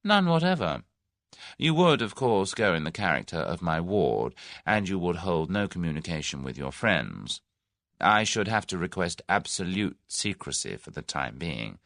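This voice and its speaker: A man's voice: low voice, big pitch swings, creaky, a bit bright, no room sound, studio quality, exaggerated voice. Man voicing a suave scheming businessman. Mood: smooth persuasive. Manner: suave, measured, persuasive. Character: scheming large businessman, smooth then menacing. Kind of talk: audiobook character reading.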